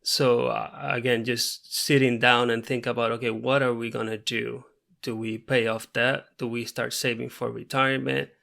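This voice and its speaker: Man with a Puerto Rican Spanish accent, gravelly and bright. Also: mid-range voice, level tone. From Puerto Rico. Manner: earnest, measured, frequent fillers. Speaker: veterinarian guest. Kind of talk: podcast interview.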